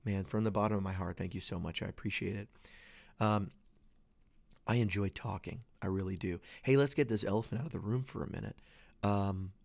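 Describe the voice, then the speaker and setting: Gravelly-voiced man host losing his voice. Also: on the low side, fairly quick, a little mellow, dry recording. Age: 30s. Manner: rambling, earnest, many restarts. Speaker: podcast host. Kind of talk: casual solo podcast talk. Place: away from home, dry small space.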